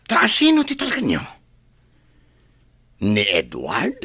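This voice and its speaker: A sharp, husky-voiced Ilocano son in a radio drama , played by a man, bitter and demanding. His pitch swings widely as he argues and plots, and the recording is dry and close. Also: sing-song, unhurried, dry recording, exaggerated voice. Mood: resentful, indignant. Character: resentful adopted adult son, demanding and plotting.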